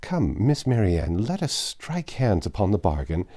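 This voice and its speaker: A man's voice: deep voice, big pitch swings, unhurried, mellow, heavily acted. A man voicing a bustling, talkative older widow who chatters effusively.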